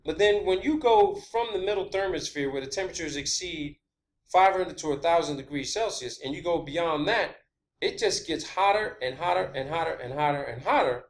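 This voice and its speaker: A man hosting an informal theorizing monologue, speaking in a halting, repetitive and emphatic way with blunt wording. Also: a little high, animated, low-quality recording. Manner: blunt, swearing. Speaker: video host.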